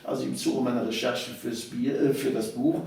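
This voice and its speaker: A man's voice: mid-range voice, fairly even intonation, a little fast, creaky, a little mellow. Very reverberant, distant recording: male interview guest, seventies, emphatic conversational storyteller. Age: 70s. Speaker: interview guest, author of local history books. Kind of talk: conversational reminiscence. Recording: echoey room, distant microphone.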